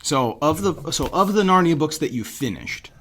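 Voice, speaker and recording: A man's voice: on the high side, expressive, moderate pace, a bit bright. Guy hosting a podcast, just talking casually, informal conversational style. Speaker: podcast host.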